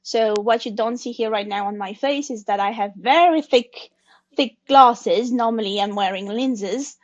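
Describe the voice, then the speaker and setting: A woman in her forties, a native French speaker, talks through her answers in English with a slightly raised voice. She hesitates and restarts often, searching for words. The recording sounds dull and muffled. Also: fairly high pitch. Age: 40s. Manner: thoughtful, hesitant, with restarts and non-native phrasing. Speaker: guest. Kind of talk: conversational interview.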